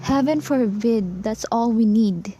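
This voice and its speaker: A woman with a husky voice playing an exasperated, studious older sister in an amateur radio play. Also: a little high, slow pace, dark tone, dull audio, a little hiss or hum.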